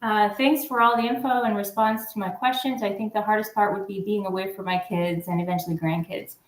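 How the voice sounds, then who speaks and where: A woman co-hosting a casual live chat, talking in a relaxed, conversational way. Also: medium pitch, a little fast. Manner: relaxed, conversational, laughs easily. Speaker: livestream co-host. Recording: echoey room.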